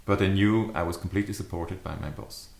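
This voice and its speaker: A man in his fifties giving a reflective, explanatory talk to camera as a leadership adviser, with measured, deliberate phrasing. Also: low-pitched, creaky, darkish tone. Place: small furnished study or living room, indoors. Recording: echoey living room.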